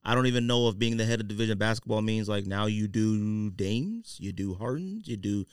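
Lowish smooth guy on a podcast, casual sports chat, slangy and opinionated. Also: a little fast, no room sound, clean studio sound. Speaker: podcast host.

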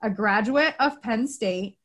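Upbeat higher-voiced woman podcast host. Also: slow pace. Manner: upbeat, laughs often. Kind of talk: casual interview chat.

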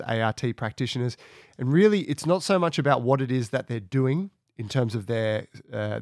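A man with a raspy voice from a cold, speaking conversationally as he introduces his podcast from home. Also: mid-range voice, no echo, clean studio sound. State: has a cold. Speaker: podcast host.

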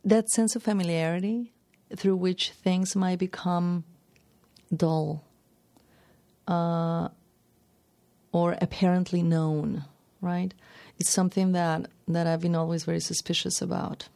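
Low, gravelly-voiced woman. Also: level tone, slow pace, pauses often, mellow. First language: Spanish. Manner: thoughtful, hesitant, many restarts and fillers. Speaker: writer, interview guest. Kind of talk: reflective interview talk.

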